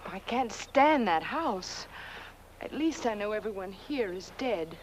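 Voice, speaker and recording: An actress playing an anxious, scheming aristocratic wife in a horror comedy film, theatrical and pleading. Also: female voice, medium pitch, lively intonation, a little slow, a little hiss or hum, natural voice, low-quality recording. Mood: frightened, pleading.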